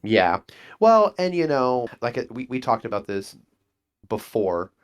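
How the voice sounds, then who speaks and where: A man's voice: medium pitch, very animated, measured pace, clean tone. A man guesting on a casual interview, rambling enthusiastically and acting out little exchanges. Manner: enthusiastic, rambling, acts out quotes. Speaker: guest, monk class player.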